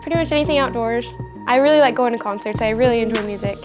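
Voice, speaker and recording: A young adult woman giving a casual, halting interview as a college athlete, peppered with filler words. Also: fairly high pitch, music in the background. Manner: halting, heavy use of "like". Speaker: college softball player.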